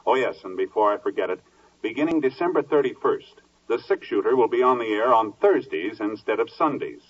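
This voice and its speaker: Formal American male announcer, lowish husky voice, scripted 1950s radio delivery, muffled broadcast sound. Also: animated, recorded from a distance. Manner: formal, measured.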